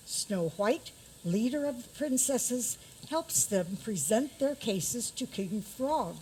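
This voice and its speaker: A woman reads scripted storybook narration for a radio play. The pace is slow and the pitch sits in the middle, with faint noise in the recording. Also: darkish tone, low-quality recording.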